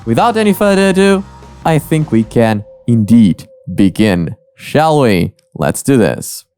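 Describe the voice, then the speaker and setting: A man's voice: medium pitch, sing-song, measured pace, a little mellow, clear, resonant tone, no room sound, soft background music. A man hosting a reaction video with casual commentary, rambling and often repeating his phrases.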